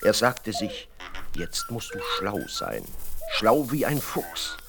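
A man narrating a fairy tale in a children's audio play, expressive storytelling. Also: medium pitch, slow pace, gravelly.

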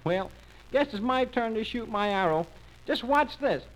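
A man's voice: high voice, lively intonation, unhurried, a bit bright, pitched above the performer's own voice, poor audio. A man voicing a small, hapless boy, meek and self-pitying, with a folksy, easily discouraged way of talking in a children's story. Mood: eager, nervous.

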